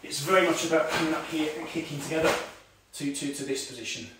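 Karate instructor in an echoey room. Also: male voice, fairly high pitch, fast talker, far from the mic, noisy background. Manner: talks while demonstrating moves, calls out commands; many "okay"s and fillers, relaxed teaching tone. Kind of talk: online martial arts lesson. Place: home room with tiled floor. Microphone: far camera on a stand.

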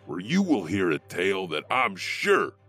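A man's voice: deep voice, wildly swooping pitch, unhurried, fairly bright tone, heavily acted. A man playing a sinister, theatrical storyteller who narrates urban legends for a horror anthology. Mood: macabre relish. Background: music.

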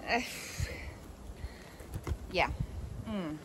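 A woman's voice: lowish voice, lively intonation, extremely slow, background noise. A woman in her thirties with an Australian accent, chatting casually with fillers and small laughs as she walks through her backyard vegetable garden, filming on a handheld phone. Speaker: gardening vlogger.

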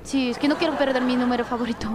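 A woman's voice: sits high, fairly even intonation, racing. A woman working as a comic reporter, teasing people in a queue with a handheld mic. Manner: teasing, mischievous. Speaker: comedy reporter. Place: busy city street outdoors. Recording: street noise with traffic.

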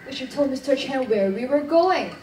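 A teenage girl plays a curious, matter-of-fact schoolgirl explorer in a radio play. Her voice is high. It sounds distant in an echoey theatre, with faint noise. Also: lively intonation, moderate pace. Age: teens. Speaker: school drama performer. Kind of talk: radio drama acting. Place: stage of a studio theatre. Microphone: far camera.

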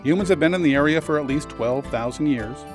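A man narrating a road trip travel video in a measured, informative tour-guide manner. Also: on the high side. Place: voice-over, not on location. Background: guitar music.

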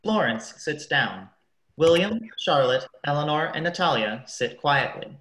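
A woman's voice: sits low, lively intonation, unhurried, a bit bright. A woman narrator reading out stage directions for a radio play. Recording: roomy, echoing sound.

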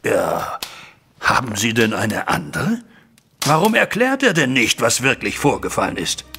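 Stern troubled banker father, middle-aged, gravelly edge, animated pitch, formal radio play delivery. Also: measured pace, a little hiss or hum, full character voice. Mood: distressed. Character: stern, distressed wealthy banker, father figure, stubborn. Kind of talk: audio drama acting.

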